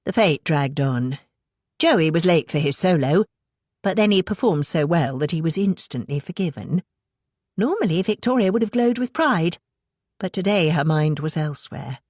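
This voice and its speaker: A woman's voice: low-pitched, lively intonation, normal speed, a little mellow, dry recording, clean studio sound. A woman with a British accent reading scripted fiction as an audiobook narrator.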